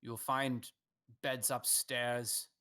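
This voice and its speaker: A man voicing an old male mouse elder who speaks with measured, courteous caution. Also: medium pitch, flat delivery, pushed and bright, smooth. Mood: polite. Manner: courteous, measured, slightly weary.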